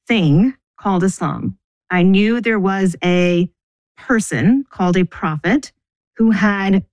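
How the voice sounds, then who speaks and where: A middle-aged woman from the United States, talking thoughtfully about her upbringing as an interview guest, pausing and restarting as she thinks. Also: mid-range voice, darkish tone, no room sound, rough recording. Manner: thoughtful, measured, restarts mid-sentence. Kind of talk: reflective personal interview.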